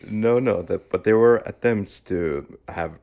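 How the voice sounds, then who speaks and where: A man's voice: lowish voice, unhurried, gravelly, darkish tone. A man from Finland with a Finnish accent, co-hosting a podcast in casual chat and asking playful, teasing questions. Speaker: podcast co-host.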